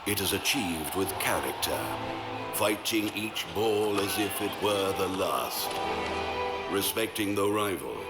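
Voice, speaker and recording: A man giving dramatic scripted narration for a promotional video in a solemn, epic manner. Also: sits low. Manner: slow, solemn, epic delivery. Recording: voice-over under loud music.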